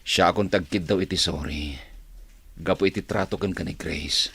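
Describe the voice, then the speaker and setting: An actor playing a kind, protective office colleague in an Ilocano radio drama, earnest and reassuring. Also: male voice, deep voice, normal speed, gravelly, fairly bright tone, slight background noise.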